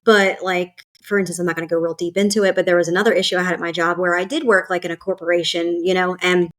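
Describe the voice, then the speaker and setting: A woman's voice: on the low side, flat delivery, fast talker, a bit bright. A woman hosting a podcast, talking casually with lots of fillers and asides. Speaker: podcast host. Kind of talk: casual conversation.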